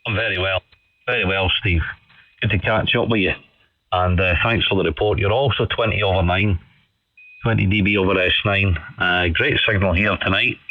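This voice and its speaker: A man's voice: sits low, steady tone, normal speed, no room sound. A man chatting politely and casually as an amateur radio operator, often repeating phrases for emphasis, heard over the radio. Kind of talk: casual ham radio chat. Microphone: studio condenser microphone over radio. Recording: dull band-limited shortwave radio signal.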